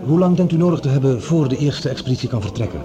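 A male actor plays a reasonable, courteous scientist in a radio play. He speaks quickly and formally, with very varied intonation. A crowd murmurs behind him. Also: mid-range voice, expressive, dull audio, close to an everyday voice. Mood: courteous, inquiring. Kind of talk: radio drama acting, formal dialogue.

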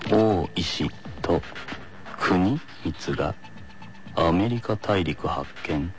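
An actor playing a stolid schoolboy athlete, musing in a deliberate, deadpan way in a comic radio skit. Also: male voice, sits low, heavily acted. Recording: loud music over voice.

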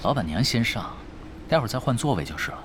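Young male gamer tactician played by a male actor, unruffled and cocky, fast and lively delivery over music. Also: medium pitch, noisy background, natural voice. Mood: brisk planning. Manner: unruffled, teasing, self-assured. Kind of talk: Chinese audio drama acting.

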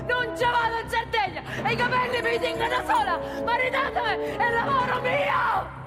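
High-voiced Roman working-class woman. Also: steady tone, moderate pace, raised voice, in a large hall, loud music behind the voice, a loud crowd. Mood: furious, pleading. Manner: plain-spoken, ungrammatical, earthy. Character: pregnant working-class woman, laid-off factory worker, plain-spoken, desperate. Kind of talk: theatrical monologue in colloquial dialect.

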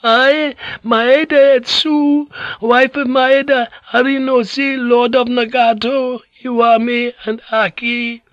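Man voicing a frail, high-pitched dying old noblewoman. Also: fairly bright tone, no room sound, highs cut off. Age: elderly. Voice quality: hoarse, frail. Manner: solemn, halting, formal declarations.

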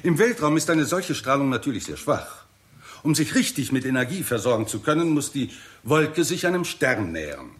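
An actor playing an earnest, methodical scientist in a 1960s German radio drama, lecturing deliberately. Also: male voice, medium pitch, big pitch swings, natural voice. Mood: patient arguing. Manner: deliberate, lecturing, hesitant openings. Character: earnest, methodical male scientist defending bold theory.

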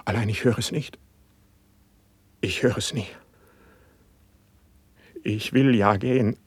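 An actor playing an anguished, distrustful poet on the edge of madness, declaiming classical verse in a radio play. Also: male voice, fairly low pitch, slow, slightly rough, no echo. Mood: despairing. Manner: declamatory, lamenting, impassioned.

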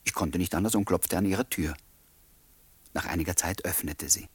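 An actor playing a courteous, pensive flat-sharer who narrates his memories in a German radio play, polite and measured, with a husky voice. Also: sits low, a crowd in the background, close to an everyday voice. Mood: pensive, concerned.

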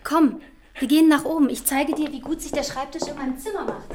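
An actress playing an earnest young daughter in a radio play. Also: female voice, high-pitched, fairly quick, very noisy, natural voice. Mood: eager.

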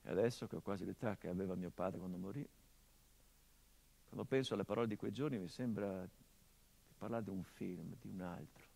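An actor playing a middle-aged son who mourns his murdered father, in a theatrical monologue that swings from hushed murmurs to impassioned outbursts, sardonic and biting. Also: low voice, fairly quick, vocal fry, long pauses, slightly rough, full character voice. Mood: bitter. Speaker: stage actor.

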